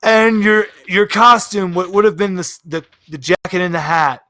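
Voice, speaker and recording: A man's voice: high-pitched, moderate pace, sharp tone, dry recording, studio quality. A man acting as game master, casually narrating a tabletop game.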